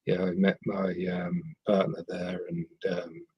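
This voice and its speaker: Husky middle-aged bloke from the west midlands, low voice, umming a lot. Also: male voice, monotone, unhurried, lo-fi sound. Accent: English, not Scottish. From West Midlands, England. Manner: hesitant, frequent ums and restarts. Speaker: podcast guest, reseller. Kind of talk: casual interview chat.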